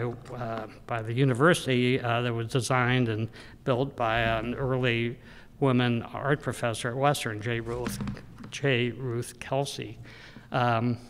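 A man in his sixties giving an informal, rambling talk at a podium microphone, often pausing and hesitating as he goes. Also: medium pitch, a bit bright, smooth. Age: 60s. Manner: halting, rambling delivery with frequent hesitations. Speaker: local history journal editor speaking at an event. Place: indoor public room, speaking at a podium. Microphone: gooseneck podium microphone. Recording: echoey hall with long reverb.

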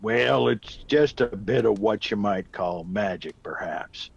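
An actor playing a gruff old soldier back from India, a rambling, emphatic storyteller fond of whiskey, in a radio drama. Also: male voice, fairly low pitch, takes it slowly, dull audio, heavily acted. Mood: grave, ominous.

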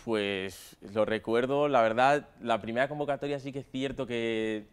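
A man's voice: mid-range voice, moderate pace, clear, resonant tone. A man of twenty with a Madrid Spanish accent, answering casually in an interview as a footballer. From Spain, Madrid area. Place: stadium dugout with plastic seats.

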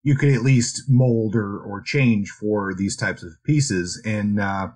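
A man co-hosting a podcast, discussing books in a casual, measured and slightly hedging way. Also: fairly low pitch, steady tone, darkish tone. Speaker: podcast host.

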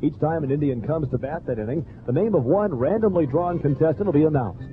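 A man's voice: on the high side, lively intonation, a little fast. An American man reading a scripted contest promo as a 1970s radio station announcer. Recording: tinny lo-fi 1970s AM radio recording. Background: music bed.

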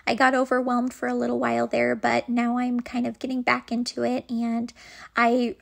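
A woman's voice: a little high, gravelly. A woman vlogger giving a casual, chatty voice-over about home organizing. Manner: chatty, informal.

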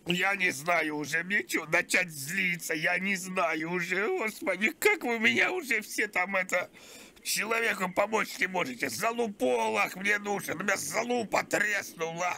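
A man's voice: high voice, animated, normal speed, vocal fry, sharp tone, full character voice. A man voicing a crude, whiny old pensioner on a prank call, pestering and rambling in a strained, plaintive voice. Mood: whiny, confused. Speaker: prank caller. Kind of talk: prank phone call improvisation.

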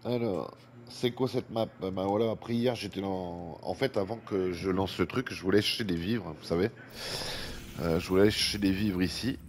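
A man's voice: low-pitched, moderate pace, a little mellow. A man with a French accent, congested from a cold, chatting casually as he talks through a video game and reads viewer comments. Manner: chatty, breathes heavily at times. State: has a head cold, congested. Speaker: gaming YouTuber. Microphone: desk microphone with fan running nearby. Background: faint fan noise.

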